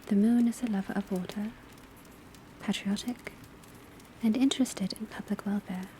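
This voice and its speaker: A woman giving a soft, breathy, mostly whispered ASMR classroom lecture in a measured, deliberate, instructive way. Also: medium pitch. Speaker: ASMR performer playing an astrology class teacher. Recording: steady ambient noise of rain and fireplace. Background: crackling fireplace, rain on rooftop, pencil writing.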